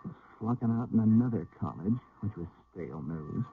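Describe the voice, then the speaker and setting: An actor playing a cocky, restless young college dropout who talks in needling slang in a radio drama. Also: male voice, lowish voice, expressive, a little fast, slight background noise. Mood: defensive. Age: young adult. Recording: muffled old radio broadcast.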